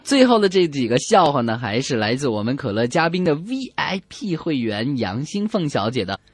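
Animated husky joke-telling radio co-host. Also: mid-range voice, normal speed, dry recording. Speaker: co-host of a comedy radio show.

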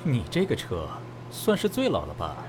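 A man's voice: medium pitch, wildly swooping pitch, normal speed, background noise. A man playing a brash, nosy garage mechanic in an audio drama, talking in a blunt, slangy, familiar way. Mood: blunt, teasing.

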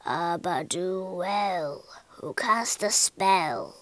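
A grave old wizard from a storybook, voiced by a woman. He mutters slowly and deliberately, dropping lower and quieter, close and dry. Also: no room sound. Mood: incantatory. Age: older.

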